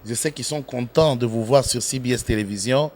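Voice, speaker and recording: A man's voice: mid-range voice, fairly quick, darkish tone. A man, a TV interviewer, giving a courteous formal introduction. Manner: courteous, polite.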